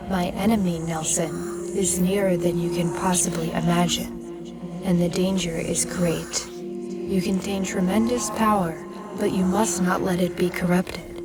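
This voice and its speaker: A woman's voice: on the low side, flat delivery, unhurried, over loud music, natural voice. A woman playing a cryptic dream apparition in a fantasy audio drama, speaking in a grave, portentous, archaic manner. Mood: ominous warning. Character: solemn, cryptic prophetic female apparition in a dream.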